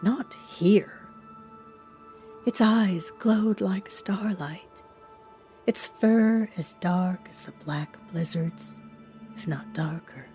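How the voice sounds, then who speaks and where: A woman narrating a horror audio drama in a solemn, hushed, deliberate and dramatic storytelling manner. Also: medium pitch, faint music behind.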